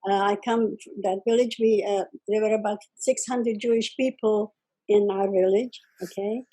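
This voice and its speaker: A woman's voice: medium pitch, steady tone, moderate pace, mellow, slightly rough, low-quality recording. An elderly woman from Czechoslovakia, speaking plainly as an interview guest and often ending sentences with "okay?". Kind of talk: conversational testimony.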